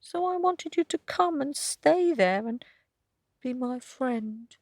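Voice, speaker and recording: A woman voicing a lonely, gentle male moon rabbit, soft and sorrowful. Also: high voice, expressive, slow, no room sound, studio quality, pitched above the performer's own voice, exaggerated voice.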